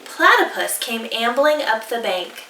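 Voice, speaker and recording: A woman teacher reading a picture book aloud to children in an animated, deliberate way that invites them to join in. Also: fairly high pitch, noisy background. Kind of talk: expressive children's storybook reading. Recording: roomy echo, distant mic.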